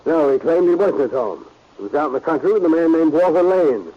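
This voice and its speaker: An actor playing an indignant, defensive businessman, curt and self-righteous, with a husky voice in 1940s radio style. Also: on the high side, lively intonation, brisk. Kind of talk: 1940s radio drama acting. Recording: muffled old radio recording.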